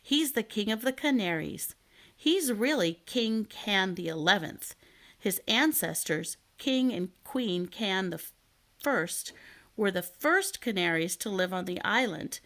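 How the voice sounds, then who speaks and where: A woman's voice: medium pitch, expressive, takes it slowly, fairly bright tone. A woman reading a children's storybook as a chatty, worried little canary, polite and talkative. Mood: explanatory. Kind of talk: children's storybook read aloud.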